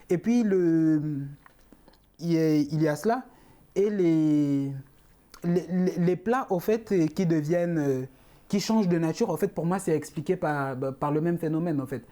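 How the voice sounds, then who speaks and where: A man's voice: fairly high pitch, normal speed, dark tone. A young man from Congo, a panel guest who explains his views at length, repeating points and using fillers. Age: young adult. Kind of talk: panel discussion.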